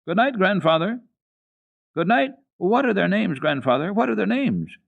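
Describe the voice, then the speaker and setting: A man's voice: high-pitched, very animated, moderate pace, gravelly, phone-quality sound, pitched above the performer's own voice, full character voice. A man voicing an eager, delighted little girl in a children's storybook, wide-eyed and full of questions.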